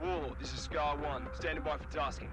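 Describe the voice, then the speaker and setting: An actor playing a steady, alert space soldier in a military shooter game, speaking in terse tactical calls. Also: male voice, fairly high pitch, brisk, lightly acted. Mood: composed. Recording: loud music and action sound behind.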